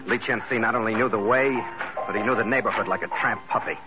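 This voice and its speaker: An actor playing a tough, brisk American ship captain who narrates his adventure in an old-time radio drama, terse and hard-boiled. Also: lowish voice, close to an everyday voice. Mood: narrating. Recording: dull old radio recording with music.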